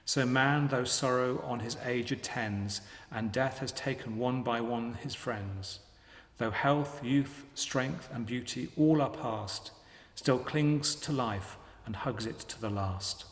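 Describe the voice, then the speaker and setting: A man reciting poetry in a measured reading style. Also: mid-range voice. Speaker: poetry reader. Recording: echoey hall with long reverb.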